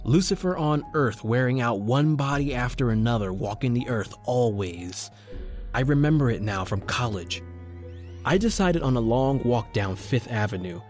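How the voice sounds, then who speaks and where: A man's voice: mid-range voice, animated, moderate pace, darkish tone, faint music behind, natural voice. Brooding radio-drama reporter, played by a man. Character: haunted, brooding male newspaper reporter narrating his tale. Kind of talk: old-time radio horror acting, dramatic narration.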